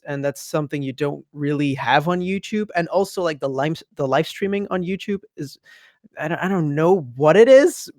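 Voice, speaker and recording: A young man with a Dutch accent, a native Dutch speaker, chatting as a podcast guest in an upbeat, gushing way with many quick restarts. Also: a little high, a little mellow, no echo, clean studio sound. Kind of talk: casual interview chat.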